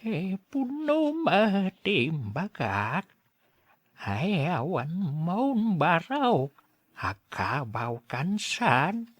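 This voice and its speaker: A man playing an elderly father who slyly pretends to be senile, muttering slowly and waveringly in an audio drama. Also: sits high, big pitch swings, no room sound, heavily acted. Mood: confused, fretful. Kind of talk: Ilocano audio drama acting.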